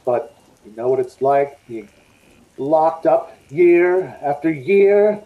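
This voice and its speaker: An actor playing an unrepentant, menacing escaped madman in old-time radio suspense style, speaking in a halting, deliberate way. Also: a little high, animated, phone-quality sound. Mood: cold, matter-of-fact. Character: escaped insane male killer, brooding, unrepentant, menacing. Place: indoor room at home, on a video call.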